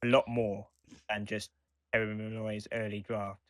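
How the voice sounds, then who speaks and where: Low-voiced man, dry sound. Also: level tone, a little slow, no echo. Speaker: podcast co-host. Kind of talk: casual discussion.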